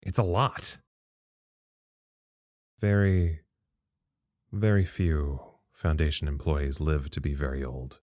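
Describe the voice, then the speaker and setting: Low-voiced, weary, wry male psychologist. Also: a little mellow, dry recording, studio quality, heavily acted. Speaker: psychologist character in audio drama. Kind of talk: audio drama acting, reflective monologue.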